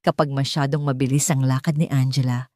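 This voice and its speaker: A woman's voice: extremely low pitch, flat delivery, fairly quick, warm tone, dry recording, studio quality. A woman with a Filipino accent narrating a scripted dramatic story.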